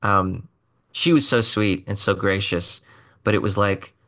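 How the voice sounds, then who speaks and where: A man's voice: lowish voice, unhurried, a bit bright. A man being interviewed as a guest musician in a recording studio, chatty and self-deprecating, with many fillers. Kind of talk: casual interview storytelling.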